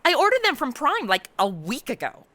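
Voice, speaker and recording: A woman's voice: high voice, huge pitch swings, fairly quick, bright, forceful tone, heavily acted. A woman playing a brash, lazy, self-indulgent modern party girl with a sarcastic, whiny manner in a comedy audio drama. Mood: flippant.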